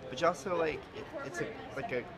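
A man's voice: fairly high pitch, fast talker, over a noisy crowd. A nineteen-year-old man answering interview questions in a campus lounge, hesitant and full of fillers. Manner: hesitant, filler-heavy with lots of "like".

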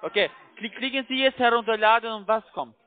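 A man with a foreign accent, not a native speaker, giving halting step-by-step instructions as a call center agent. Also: very high-pitched, no echo. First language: not German. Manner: halting, broken grammar. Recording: tinny narrow phone line.

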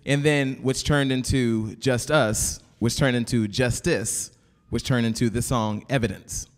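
A man's voice: medium pitch, fairly even intonation, measured pace. A man telling a short story on a concert stage, stumbling over his words in a good-humored way. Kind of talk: casual stage anecdote. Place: concert stage before a live audience. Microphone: stage vocal mic on a stand. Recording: long reverb of a concert hall.

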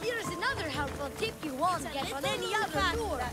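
A woman's voice: very high voice, lively intonation, fairly quick, over loud music, a loud crowd. A woman playing a cheeky young boy tour guide and sly con artist in a video game, talking in glib salesman patter with feigned innocence. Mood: glib salesmanship. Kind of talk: video game character acting.

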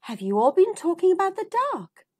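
A woman's voice: extremely high pitch, big pitch swings, a little fast, a little mellow, voice pushed up. A woman voicing a kind, encouraging schoolteacher who instructs young children in a storybook.

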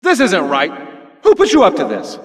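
An actor playing a smug, jealous, nerdy game designer villain in a cartoon video game, boastful, theatrical and petulant. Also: male voice, high-pitched, wildly swooping pitch, normal speed. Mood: whiny, panicked. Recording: echoey, long reverb.

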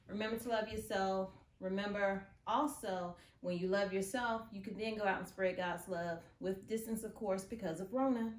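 A woman's voice: mid-range voice, a little hiss or hum. A middle-aged woman chatting casually and informally to the camera as a home vlogger, recorded in a bedroom.

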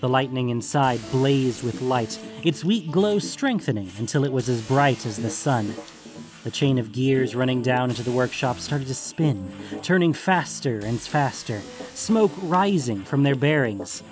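A man's voice: medium pitch, lively intonation, a little slow, over music, poor audio. A man reading scripted fantasy story narration as the narrator.

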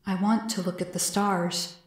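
A woman voicing a gentle, curious, wistful male alien in a story, with slow, measured, formal phrasing, over a noisy background. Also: medium pitch, flat delivery, roomy sound, lightly acted. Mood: earnest, longing.

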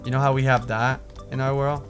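A man's voice: mid-range voice, flat delivery, brisk, faint music behind. A man hosting a game series, chatting casually through Minecraft roleplay. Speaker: host of a gaming series.

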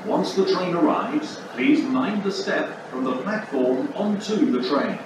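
A man's voice: fairly high pitch, animated, unhurried. A man reading scripted safety announcements for a railway station's public-address system. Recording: very echoey, distant, noisy station PA.

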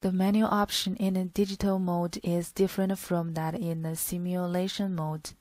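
A woman's voice: lowish voice, little pitch movement, moderate pace, a little mellow, no room sound. A woman reading a scripted product tutorial in a stilted, phrase-by-phrase way. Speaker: product demonstrator.

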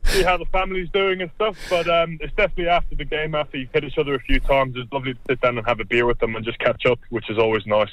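Young Irish man, relaxed chat. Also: medium pitch, racing, few pauses, low-quality recording. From Ireland. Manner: relaxed, rambling, repeats himself. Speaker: rugby player, guest. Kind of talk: casual interview chat.

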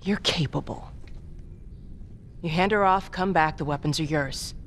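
An actress playing a steely resistance leader in a video game cutscene, firm, measured and authoritative. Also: female voice, low voice, a little hiss or hum.

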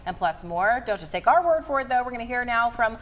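A woman's voice: fairly high pitch, expressive, quick pace, a bit bright. A woman hosting a live morning TV show, interviewing a guest in a conversational way from a studio.

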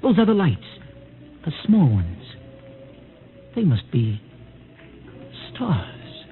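An actor in a 1950s radio drama playing an urgent, protective young husband with earnest, dramatic delivery, on a noisy old recording. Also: male voice, fairly high pitch, huge pitch swings, drawn-out words, phone-quality sound, soft background music, heavily acted. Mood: tender, hushed.

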